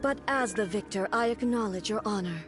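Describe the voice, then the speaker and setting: A woman's voice: medium pitch, brisk, close to an everyday voice. A woman playing a stern, regal godlike shogun in a video game, speaking solemnly and imperiously. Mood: cold, solemn. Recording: background music under the game dialogue.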